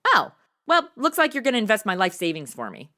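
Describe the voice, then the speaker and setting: A woman's voice: medium pitch, huge pitch swings, brisk, sharp tone. A woman playing a brash, flippant undercover gal in a comedy audio drama, with casual, exaggerated wisecracking delivery. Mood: breezy.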